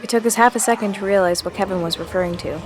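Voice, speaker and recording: An actress plays an earnest teenage girl surviving a zombie apocalypse. She speaks in a confiding, reflective way, as if into a diary, at a middling pitch. It is audio drama, with music beneath the voice. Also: very fast, lightly acted. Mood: tense, urgent. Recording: music under the voice.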